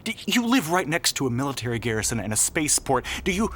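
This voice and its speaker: A man playing a troubled, bitter young military telepath navigator in an audio roleplay, volatile yet apologetic, with halting, stammering delivery. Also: medium pitch, sing-song, fairly bright tone. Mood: scornful, angry. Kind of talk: audio roleplay acting addressed to the listener. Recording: clearly noisy background ambience.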